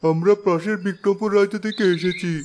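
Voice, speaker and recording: A man's voice: high-pitched, steady tone, brisk, darkish tone, slight background noise, close to an everyday voice. A man voicing imperious royal figures in a fairy-tale cartoon, formal and declamatory. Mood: formal, courteous. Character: imperious king and his courtly royal messengers.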